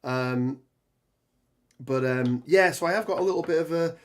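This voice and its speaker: A man with a hoarse voice from a cold, chatting casually on a live stream while building terrain, with lots of ums and restarts. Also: fairly high pitch, moderate pace. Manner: rambling, many ums and restarts, reads chat aloud. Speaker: tabletop gaming streamer.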